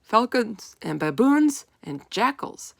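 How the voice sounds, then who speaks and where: A woman plays a keen young schoolboy in a children's storybook. The delivery is eager and simple, in a dry, close recording with a little creak in the voice. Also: a little high, very animated, slow pace, no echo, voice pushed up, full character voice. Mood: excited.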